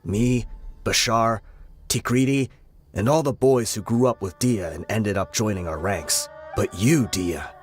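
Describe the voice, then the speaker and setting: A level-headed desert brigand with a low voice. He is patient and weary, explaining with measured regret over game music. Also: husky. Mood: grave, explaining. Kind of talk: video game voice acting. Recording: music behind the voice.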